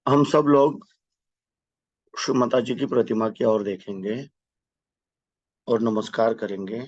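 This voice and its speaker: A man leading a guided meditation with prayer, giving step-by-step instructions in a measured, devotional manner. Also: mid-range voice, steady tone, a little mellow, no echo, muffled recording.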